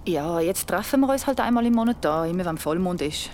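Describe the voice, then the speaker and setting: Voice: medium pitch, lively intonation, a little fast, darkish tone, a little hiss or hum, lightly acted. An actor playing a casual, chatty campfire friend in a Swiss German radio play. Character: chatty friend at a monthly full-moon campfire.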